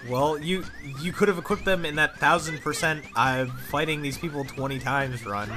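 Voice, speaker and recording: A man's voice: on the high side, normal speed, over music. A man making sarcastic, dry remarks as a co-commentator in casual gameplay banter. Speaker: let's play co-commentator.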